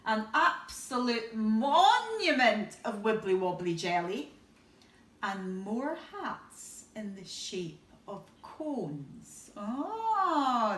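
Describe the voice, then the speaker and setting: A woman's voice: mid-range voice, fairly bright tone. A woman reading a storybook aloud to young children, expressively, with questions and asides to her listeners. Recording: roomy echo, distant from the mic.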